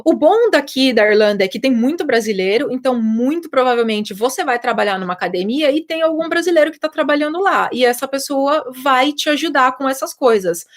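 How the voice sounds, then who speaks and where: Woman, Brazilian Portuguese accent, young adult, personal trainer, casual live chat, chatty, fairly high pitch. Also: lively intonation, fairly quick, hardly any pauses. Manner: chatty, explanatory, with frequent fillers. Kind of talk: casual live chat answering viewer questions.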